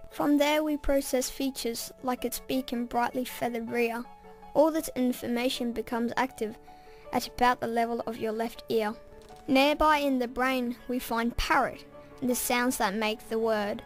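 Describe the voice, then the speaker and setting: A woman narrates a rhyming story in a gentle, storytelling way. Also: on the high side.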